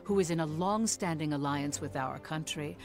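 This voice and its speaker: An actress playing a grave narrator, formally recounting a nation's history in a game prologue. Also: female voice, low-pitched, moderate pace. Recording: game audio under music.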